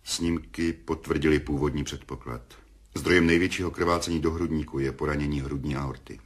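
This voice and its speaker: A blunt surgeon with an extremely deep and husky voice. He speaks in a clinical, matter-of-fact manner, as in a 1970s radio drama. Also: fairly even intonation, exaggerated voice. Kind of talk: radio play acting.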